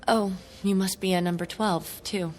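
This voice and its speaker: A woman's voice: mid-range voice, fairly even intonation, moderate pace, darkish tone, slight background noise, close to an everyday voice. An actress playing a troubled, stubborn eighteen-year-old young woman in a radio drama. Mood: wary.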